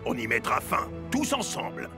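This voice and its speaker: Gravelly, commanding cartoon team leader. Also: male voice, mid-range voice, wildly swooping pitch, moderate pace, loud music behind the voice. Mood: firm. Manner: commanding, blunt. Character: firm, no-nonsense male football team leader. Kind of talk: French animated series dubbing.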